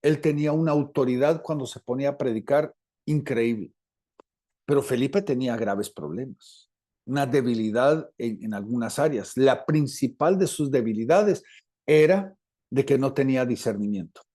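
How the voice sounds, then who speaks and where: A man with a Latin American Spanish accent preaching a teaching sermon, deliberate and repeating phrases for emphasis. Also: mid-range voice, warm tone. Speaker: preacher, apostle.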